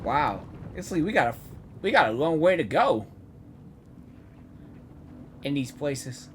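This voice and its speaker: A woman's voice: low voice, big pitch swings, slow, slight background noise. A woman giving casual, informal gameplay reaction commentary, often repeating her phrases. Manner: repetitive, informal. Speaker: gaming reaction video creator.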